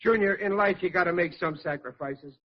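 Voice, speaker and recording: An actor playing a blustery, good-natured working-class father in a 1940s radio sitcom, with a husky voice and folksy, emphatic, ungrammatical talk. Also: high voice, a little fast, close to an everyday voice. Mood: blustering. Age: middle-aged. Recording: muffled vintage radio recording.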